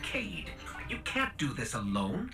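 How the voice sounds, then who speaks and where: Animated, earnest little robot companion. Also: male voice, a little high, takes it slowly, creaky, distant mic, loud music behind the voice, exaggerated voice. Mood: questioning, concerned. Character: small floating robot companion, male, earnest, fussy and polite. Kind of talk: video game cutscene acting.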